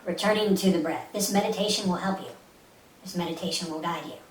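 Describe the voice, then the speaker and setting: Female meditation guide, soothing and instructive, slightly low register, recorded off-mic with light hiss. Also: a little fast. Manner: soothing, instructive, repeats short phrases. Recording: roomy, distant mic with faint hiss.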